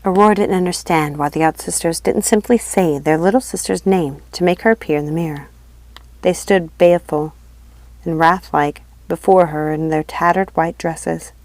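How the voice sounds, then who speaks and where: A woman reads a fantasy tale aloud in a fairly low voice. The sound is dry, with very little room in it. It is scripted storybook narration. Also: steady tone, moderate pace, darkish tone, dry recording. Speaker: audiobook reader.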